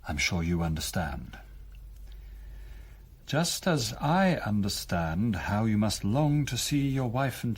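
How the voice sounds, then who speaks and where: A chilling Nazi chief in a radio drama. His husky, mid-pitched voice moves expressively up and down. He is courteous and pompous, with a soft threat under every measured phrase. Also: full character voice. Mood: mock-pious menace. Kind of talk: broadcast radio drama acting.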